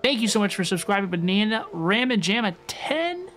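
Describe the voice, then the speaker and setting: A woman's voice: mid-range voice, sing-song, moderate pace, fairly bright tone. Chatty young woman streamer, music behind. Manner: chatty, repeats phrases. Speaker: game streamer. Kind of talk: casual live-stream chat and gameplay commentary.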